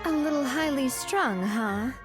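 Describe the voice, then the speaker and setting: An actress playing a friendly, spirited young woman in a video game, chatty and expressive. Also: high voice, unhurried, over music. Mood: wry. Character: friendly, spirited young woman leading an underworld mediation group.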